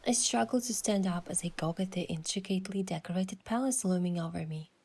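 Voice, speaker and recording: A woman's voice: fairly low pitch, a little fast, a little mellow, slightly rough. A woman giving scripted first-person narration of an adventure story as its protagonist.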